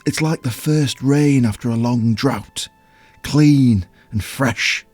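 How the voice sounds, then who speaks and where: An actor playing a huge, simple, childlike potion brewer in an audio drama, with rustic folksy speech and a halting, earnest, wounded manner. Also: male voice, medium pitch, darkish tone, no echo. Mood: quietly proud. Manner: halting, plain-spoken, earnest. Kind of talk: audio drama character acting.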